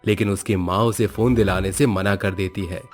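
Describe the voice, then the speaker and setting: A low-voiced man delivers scripted storytelling narration. Soft music plays behind his voice throughout. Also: fairly even intonation, normal speed. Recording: background music under the voice.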